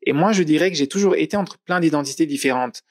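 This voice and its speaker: A man, a psychologist giving a thoughtful interview answer, measured and often pausing on fillers. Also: a little high, dry recording, studio quality. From Mali.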